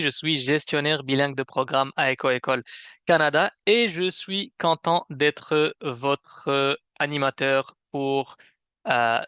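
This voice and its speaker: A man hosting a webinar with a semi-scripted presentation, explaining in a measured way with some hesitation. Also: on the high side, bright, forceful tone, clean tone, dry recording. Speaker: webinar host and program manager.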